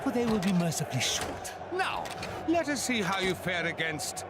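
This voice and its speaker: A man's voice: high-pitched, big pitch swings, normal speed, heavy background noise. An actor playing a pompous, boastful alien tyrant in a video game, announcing everything like a theatrical showman. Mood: pompous gloating. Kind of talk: video game cutscene acting. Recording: loud music over voice.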